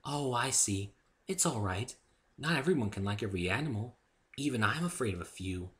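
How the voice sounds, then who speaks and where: A man voicing a shy, gentle young female winged pony, timid and hesitant, in a story reading. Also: medium pitch, lively intonation, brisk, voice pushed up, natural voice. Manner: timid, hesitant, soft-spoken.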